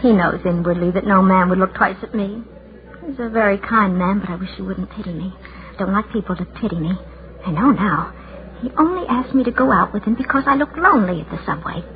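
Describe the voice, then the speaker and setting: A woman's voice: medium pitch, fairly quick, crowd noise, close to an everyday voice. An actress in a 1940s radio drama playing a lonely, self-doubting woman's agitated inner voice. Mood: self-pitying. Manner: agitated self-questioning, arguing with herself. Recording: tinny band-limited vintage radio recording, loud music.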